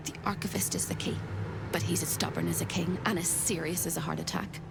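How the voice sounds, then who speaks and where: A woman's voice: lowish voice, steady tone, brisk, creaky, heavy background noise. A woman voicing an unhinged, whimsical captive apparition in a video game, with a manic, sing-song, theatrical manner. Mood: frantic. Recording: loud game music behind.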